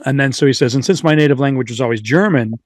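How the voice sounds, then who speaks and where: A man's voice: medium pitch, fast, no echo. Casual man in a dry, close recording. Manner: informal, digressive, lightly sweary. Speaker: podcast host. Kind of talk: casual chat, retelling and reading out accounts.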